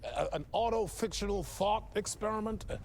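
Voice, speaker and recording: A man's voice: high-pitched, normal speed, creaky, darkish tone, a little hiss or hum. An actor playing an effusive, teasing male TV talk show host with a showy, playful manner and dramatic emphasis. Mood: playful, intrigued. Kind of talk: theatrical late-night talk show hosting.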